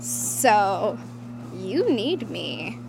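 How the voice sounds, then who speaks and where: A woman's voice: mid-range voice, sing-song, drawn-out words, creaky, music in the background, heavily acted, rough recording. A woman playing a spoiled, condescending actress in her early thirties in a naturalistic audio drama. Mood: curt, haughty.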